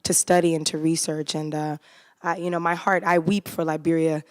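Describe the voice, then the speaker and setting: Earnest low-voiced woman on a theater stage. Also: level tone, normal speed, no room sound, studio quality. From United States. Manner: earnest and heartfelt. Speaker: cast member in a post-show talkback. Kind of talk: post-show panel talk.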